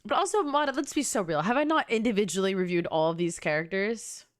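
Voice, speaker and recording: Young female streamer. Casual gameplay chatter, teasing asides to viewers, animated intonation. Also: mid-range voice, fairly quick, dry recording, clean studio sound. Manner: bantering, teasing, talks to chat. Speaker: game streamer. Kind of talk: casual livestream chat and gameplay commentary.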